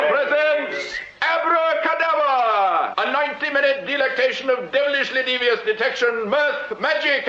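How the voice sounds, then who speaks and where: An actor playing a grandiloquent British Victorian master of ceremonies, declaiming pompously in a theatrical stage announcement. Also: male voice, very high-pitched, pushed and bright, exaggerated voice. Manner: pompous, declamatory, relishes alliteration. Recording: muffled, echoing, very noisy radio recording.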